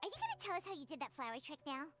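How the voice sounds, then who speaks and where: A woman voicing a tiny, excitable, nosy floating companion in a game cutscene, eager and wide-eyed. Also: high voice, racing, faint music behind, full character voice. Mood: curious.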